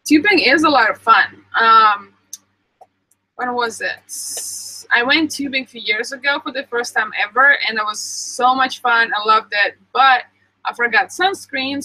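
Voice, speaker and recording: Young woman, bright tone, slightly high voice, dry close sound, chatty live stream host. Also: animated, a little slow, dry recording, rough recording. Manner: chatty, answers viewer comments with many ums. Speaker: vlogger reading live chat. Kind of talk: casual live stream chat.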